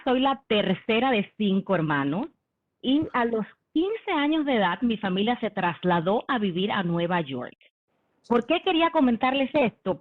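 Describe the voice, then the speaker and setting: A woman in her forties, from the Dominican Republic, telling her own story in a leadership talk on a video call, with many "em" and "eh" fillers. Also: a little high. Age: 40s. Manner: warm conversational, frequent "em" and "eh" fillers. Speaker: company executive giving a leadership talk. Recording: phone-like online meeting audio.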